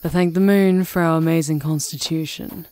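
A woman's voice: sits low, steady tone, moderate pace, mellow, close to an everyday voice. A woman playing a cocky, teasing pack leader in an audio roleplay, with a brash, casual manner.

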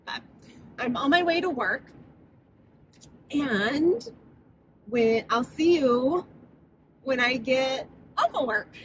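A woman's voice: sits high, expressive, extremely slow, long pauses, a little hiss or hum, low-quality recording. A woman in her thirties chatting casually to camera from inside her car, sounding stuffed up and nasal from a cold, laughing often. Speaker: vlogger. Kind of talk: casual vlog chat to camera. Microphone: phone camera on the dashboard.

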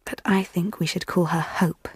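Idealistic teenage girl, soft reflective monologue, breathy, very dry recording, radio drama. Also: lowish voice, steady tone, normal speed, no room sound. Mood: tender, reflective.

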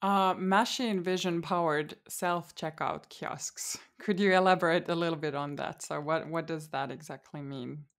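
A woman with a fairly low voice hosts a podcast interview. She reads her opening from a script, then asks her questions at an even, measured pace, often restarting with an "um" or a "so". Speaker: podcast host.

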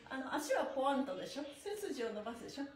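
Exuberant, high-voiced middle-aged woman instructor. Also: big pitch swings, rapid-fire. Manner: exuberant, encouraging, giggly. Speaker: stretching instructor and pantomime performer. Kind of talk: livestreamed exercise instruction, cheerful chanting and chat. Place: small bare indoor studio room. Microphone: camera or phone a short distance away. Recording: roomy indoor echo.

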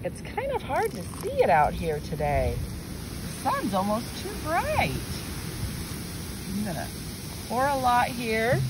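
Expressive, slow-paced woman teacher in noisy wind. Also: a little high. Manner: exclaiming, gasping, coaxing children with questions. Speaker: teacher of young children. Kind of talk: hands-on demonstration for children and parents. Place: outdoors in wind and sun. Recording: windy outdoor phone recording with noise.

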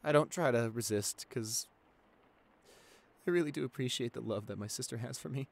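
A man acts out a shy young boyfriend in an intimate audio roleplay. He is anxious and soft-spoken, stammering and hesitating as he talks, with a medium-pitched voice. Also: moderate pace, vocal fry. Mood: calming down. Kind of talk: boyfriend ASMR roleplay acting.

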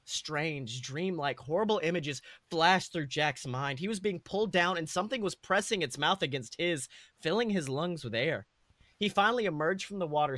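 A man's voice: fairly high pitch, fairly bright tone, no echo. A man reading comic fan fiction aloud as a podcast host, narrating in an amused way. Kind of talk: comedic story narration.

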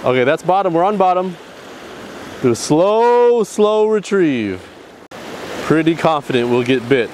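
A man in his thirties vlogging excitedly while fishing from a rocky ocean shore, recorded outdoors on an action camera. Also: on the high side, measured pace, pauses often. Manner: excited, exclaiming. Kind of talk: outdoor vlog narration. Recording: faint surf and wind noise. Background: waves and wind.

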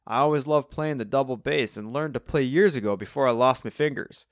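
Audiobook role: eager, boastful elderly Cockney bassist played by a male narrator, raised pitch, close dry sound. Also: fairly quick, no echo, close to an everyday voice. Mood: proud, cheery. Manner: chirpy, boastful, excitable. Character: cheery old Cockney double-bass player, proud and eager.

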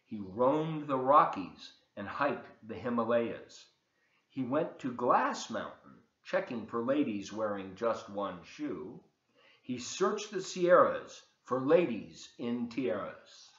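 Male, 70s, folksy children's story reader, lively intonation, roomy dull home webcam sound. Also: medium pitch. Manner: folksy, expressive, addressing young listeners. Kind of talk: children's read-aloud with chatty asides. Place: open living room and kitchen, indoors. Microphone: webcam at desk distance.

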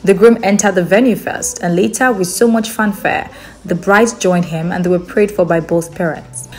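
Low-voiced woman narrating over music. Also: steady tone, moderate pace. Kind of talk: scripted event report narration.

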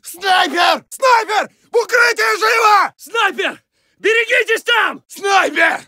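Video game WWI Russian soldier: alarmed, very high-pitched urgent shouting, forceful edge. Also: male voice, slow pace, no room sound, clean studio sound, heavily acted. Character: alarmed Russian First World War soldier warning comrades. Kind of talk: shouted battlefield alert barks.

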